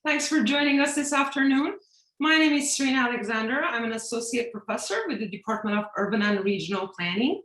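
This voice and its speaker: A woman's voice: on the high side, brisk. A woman from Iran, a professor, introducing herself on a panel with enthusiasm over a video call. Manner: enthusiastic, animated. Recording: roomy echo.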